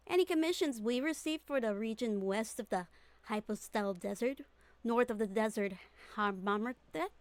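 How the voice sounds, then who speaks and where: A woman's voice: a little high, clean tone, no room sound. A woman voicing a confident, easygoing young female mercenary in game dialogue, with breezy, informal persuasion. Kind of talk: fan-dub game character dialogue. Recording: music behind the voice.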